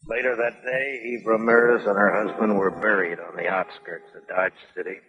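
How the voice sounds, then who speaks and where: An actor playing a grave, brooding western narrator in a 1950s radio drama, with a measured, dramatic delivery. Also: male voice, fairly low pitch, fairly even intonation, muffled recording, lightly acted. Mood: sombre, hushed. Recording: vintage radio recording.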